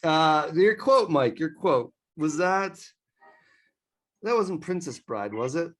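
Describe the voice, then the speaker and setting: A man's voice: a little high, animated, takes it slowly. A man co-hosting a gaming podcast, in laid-back, joking chat that often stumbles over its words. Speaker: podcast co-host.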